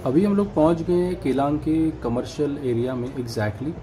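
A man narrating his travel vlog in a calm tour-guide manner, mixing in English phrases. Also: fairly high pitch, moderate pace, poor audio. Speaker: travel vlogger. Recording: voice over loud music.

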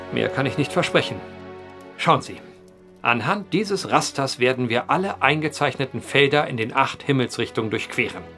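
Earnest, formal gentleman writer, music behind. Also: medium pitch. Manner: formal, precise, old-fashioned eloquent diction. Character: earnest, determined gentleman writer, methodical and eccentric. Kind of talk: German audio drama acting.

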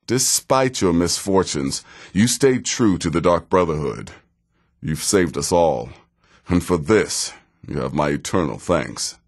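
A man playing a wry, sardonic middle-aged assassin in a fantasy video game, speaking in a dry, measured, sarcastic way. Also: deep voice, lively intonation, heavily acted. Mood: dry, composed. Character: wry, sardonic veteran male assassin handing out contracts.

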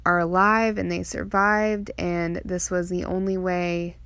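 A woman's voice: lowish voice, flat delivery, unhurried, a bit bright, dry recording. A young adult woman narrating a personal vlog in a heartfelt, earnest way. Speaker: farm vlogger and mother. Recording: clearly noisy outdoor ambience.

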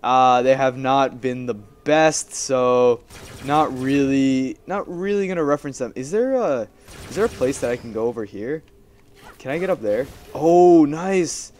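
A man's voice: mid-range voice, measured pace, a little mellow, slight background noise. A young man giving casual, rambling gameplay commentary, chatty and playfully repeating his phrases. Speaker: gaming video host.

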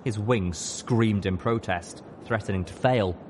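A man's voice: fairly low pitch, a little slow, noisy background. A man reading fiction aloud as an audiobook narrator, in a dramatic scripted style.

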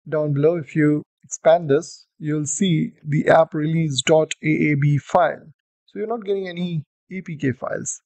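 A man's voice: on the high side, dry recording. Man talking through a coding tutorial. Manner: steady explanatory walkthrough with fillers. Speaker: coding instructor. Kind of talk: screen-recorded tutorial.

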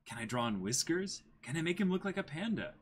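A man's voice: fairly high pitch, big pitch swings, fast, slight background noise. A man in his twenties hosting a livestream, chatting casually and reading aloud in a jokey way, repeating short phrases. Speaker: streamer hosting a manga dramatic reading. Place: small indoor room with backdrop.

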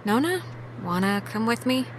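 Wry, higher-voiced American teenage girl. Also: big pitch swings, moderate pace, slight background noise. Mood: coaxing. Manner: dry, sarcastic, casual. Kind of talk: naturalistic conversational video game acting.

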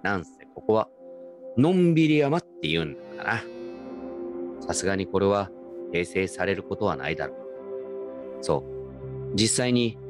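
A low-voiced man acting as a nostalgic grown grandson in a radio drama. He tells his story in a casual, reflective, wry way, with faint music behind him. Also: very animated, normal speed, long pauses. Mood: confident, then quiet, reflective. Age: adult. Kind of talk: first-person radio drama narration and dialogue.

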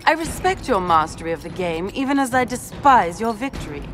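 A woman's voice: medium pitch, big pitch swings, a little fast, over music, natural voice. A woman voicing a disgraced, defiant grand duchess in a fantasy game, speaking with formal scorn. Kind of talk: cinematic fantasy game dialogue.

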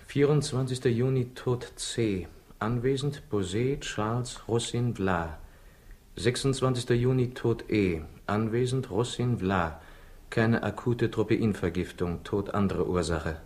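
An actor playing a skeptical, alert investigator with a dry, matter-of-fact manner in a 1960s German radio drama. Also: male voice, fairly low pitch, measured pace, darkish tone, natural voice.

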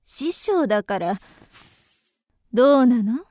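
A teasing, forward young geisha in a radio drama. Her voice is high and unhurried, coy and probing in old-fashioned feminine phrasing. The sound is dry, with faint music behind. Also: expressive, warm tone, no echo.

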